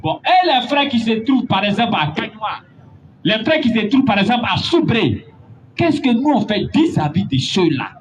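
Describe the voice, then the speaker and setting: A man preaching a sermon, building to emphatic, drawn-out points. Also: high-pitched, very animated. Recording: dull lo-fi recording with faint noise.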